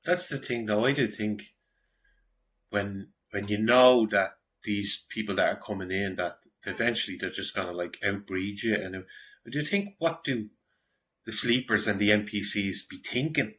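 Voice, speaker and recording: Irish man, low and forceful, blunt conversational commentator. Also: normal speed. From Ireland. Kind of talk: casual opinion commentary.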